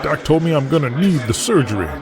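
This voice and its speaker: Casting: pleading, hurt old-hand wrestler, lively swooping voice, crowd and music underneath, audio drama. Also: male voice, a little high, fast. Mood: earnest, defensive. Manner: earnest, pleading. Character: pleading injured veteran male wrestler.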